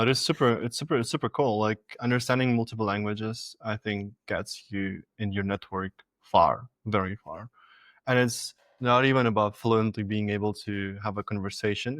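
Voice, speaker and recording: A man's voice: fairly low pitch, moderate pace, no echo. A man in his twenties from Latvia, a native Russian speaker, chatting loosely as a podcast guest and often restarting his words. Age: 20s. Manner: relaxed, repeats and restarts words, laughs easily. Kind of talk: casual interview chat.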